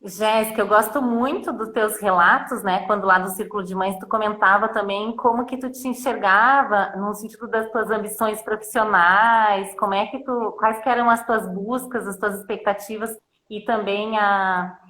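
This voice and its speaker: A woman who facilitates a mothers' circle, interviewing her guest gently and asking long, thoughtful questions on a livestream. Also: mid-range voice, steady tone, moderate pace, few pauses. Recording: echoey livestream audio.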